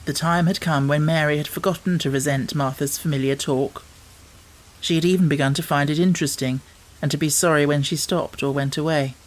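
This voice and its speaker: A man's voice: fairly high pitch, steady tone, brisk, poor audio. A man reading a children's novel aloud as scripted audiobook narration.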